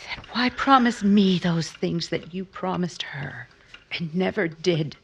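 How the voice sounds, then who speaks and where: A woman playing a disillusioned, wistful married woman in a 1950s-style radio drama, breathy and husky, often dropping to a half-whisper. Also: fairly low pitch, slow, a little hiss or hum. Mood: quietly bitter. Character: disillusioned married woman, wistful, bruised, romantic, gently challenging.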